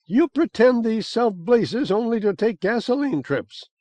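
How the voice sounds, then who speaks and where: A man voicing a dramatic young revolutionary woman in an audiobook, with shrill theatrical wailing. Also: lively intonation, a little slow, darkish tone, dry recording. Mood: scolding.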